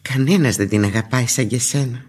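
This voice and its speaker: An actress playing an older, caring but easily aggrieved aunt in a vintage Greek radio drama. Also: female voice, rumbling low, darkish tone, slightly rough. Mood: affectionate, insistent.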